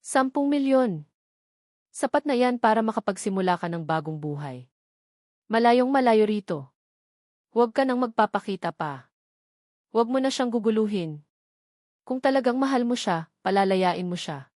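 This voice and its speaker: A cold, moneyed matriarch, as a female narrator puts her on in a romance audio story. She speaks calmly but sharply, full of contempt, in a dry recording. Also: on the high side, moderate pace, pauses often, dry recording, lightly acted. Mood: coldly commanding. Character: cold, haughty, wealthy older mother, calmly cutting.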